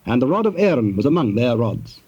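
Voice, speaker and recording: A man narrating scripture in archaic English, measured and formal, as a storyteller. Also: mid-range voice, big pitch swings, slightly rough. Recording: muffled tape with loud background noise.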